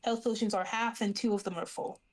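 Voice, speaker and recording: A woman's voice: mid-range voice, fairly even intonation, brisk. A woman chatting casually as a player in a tabletop role-playing game.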